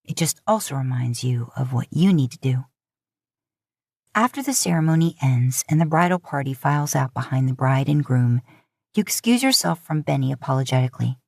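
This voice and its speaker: Low-voiced woman narrating fiction. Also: expressive, a little fast, creaky, darkish tone, dry recording, clean studio sound. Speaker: audiobook narrator.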